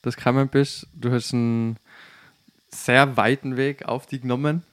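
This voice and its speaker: A man hosting a casual podcast interview, speaking informally and haltingly as he searches for words. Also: medium pitch, flat delivery, a bit bright, no room sound. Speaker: podcast host. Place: at home.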